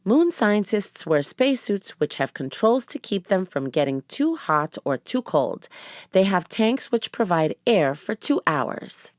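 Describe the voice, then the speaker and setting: Woman as a careful, studious storybook boy, slow-paced. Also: medium pitch, sing-song, no echo, studio quality.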